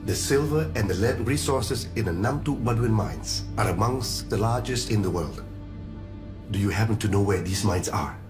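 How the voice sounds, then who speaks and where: An actor playing a proud, cold, scheming army general in a period film, speaking in an imperious, deliberate way. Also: male voice, on the low side, natural voice. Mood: cold, pointed. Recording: echoey room, music underneath.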